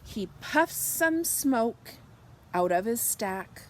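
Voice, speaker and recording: A woman reading a picture book aloud to young children, expressive and animated, drawing out her words and softening for bedtime lines. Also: a little high, slight background noise. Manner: slow, animated delivery with drawn-out words and hushed, whispered good nights. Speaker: children's storybook reader.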